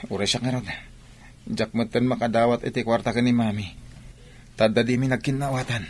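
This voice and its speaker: An actor with an Ilocano Filipino accent playing a worried, pushy young student short of money in a radio drama. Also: male voice, mid-range voice, steady tone, brisk, natural voice.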